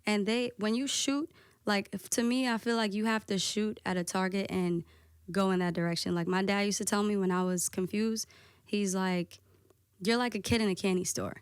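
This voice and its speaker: A woman DJ guesting on a podcast, talking casually and candidly with a soft voice, peppering her talk with "like" and swearing. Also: mid-range voice, fairly even intonation, moderate pace, smooth, dry recording, studio quality. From United States. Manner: candid, lots of "like" and profanity.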